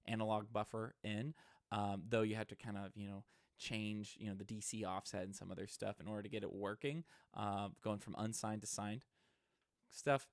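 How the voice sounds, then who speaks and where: A man presents a casual electronics demo. His voice is creaky and recorded very dry. He explains things informally and a little hesitantly. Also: lowish voice, fairly even intonation, moderate pace, no echo. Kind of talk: casual tech demonstration. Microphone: close microphone into an audio interface.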